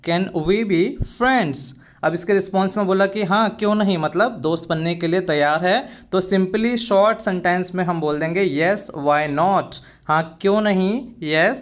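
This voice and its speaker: Young male tutor, fairly high voice, unhurried, drills phrases twice. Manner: explains in Hindi, repeats English phrases very slowly. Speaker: English teacher. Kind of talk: spoken English lesson.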